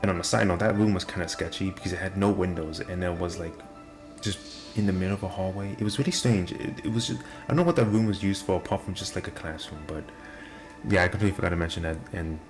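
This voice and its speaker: A young man with a deep voice and nonstandard R sounds, talking casually and self-deprecatingly to the camera. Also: fast talker. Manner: rambling, self-deprecating, many restarts. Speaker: vlogger. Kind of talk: casual rambling monologue. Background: video game music playing.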